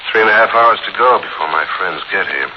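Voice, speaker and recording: A man's voice: lowish voice, expressive, quick pace, close to an everyday voice. An actor playing a menacing, wounded ex-convict gunman with a curt, sneering manner in a 1940s radio thriller. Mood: curt, wary. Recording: muffled, noisy 1940s radio recording.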